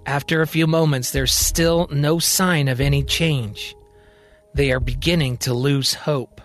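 A man's voice: mid-range voice, fairly even intonation, measured pace. A man narrating a scripted science-fiction story in a dramatic, present-tense storytelling style. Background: music.

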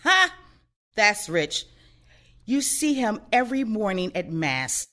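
A woman playing a blunt, sardonic, protective older woman in an audio play, outspoken and expressive. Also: mid-range voice, takes it slowly, heavily acted.

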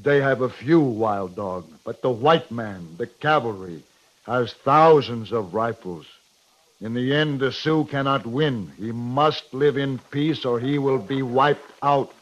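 An actor playing a resolute, blunt frontier cavalry captain in a 1950s radio western, with clipped, commanding delivery. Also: male voice, medium pitch, slow pace. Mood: grave, persuasive. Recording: muffled old radio recording with clear noise.

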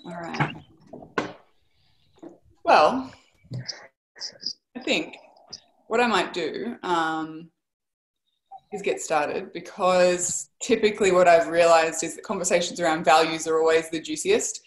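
A woman's voice: lowish voice, slow pace, pauses often. A woman hosting a casual group video call from home, effusive and chatty, thinking aloud as she goes. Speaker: host of a community video call. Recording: roomy video call audio.

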